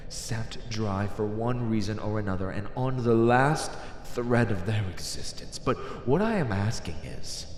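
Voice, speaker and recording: An arrogant, cynical fantasy villain, played by a man, delivers a condescending roleplay monologue. He takes his time, stretching words out with mocking relish. His pitch swings widely from line to line, and long reverb hangs around the voice. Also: slight background noise. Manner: mocking, condescending, theatrical, drawn-out emphasis.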